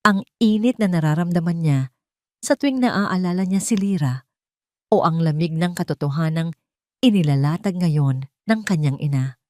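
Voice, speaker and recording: A woman's voice: fairly low pitch, a little mellow, dry recording, clean studio sound. A woman storyteller giving a dramatic, scripted narration of a story.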